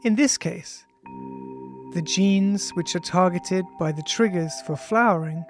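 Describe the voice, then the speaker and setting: Measured audiobook reader over music. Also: mid-range voice. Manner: measured, reads punctuation aloud. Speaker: author reading own audiobook. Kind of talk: audiobook reading of a scientific text. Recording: music behind the voice. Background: ambient music with bell-like tones.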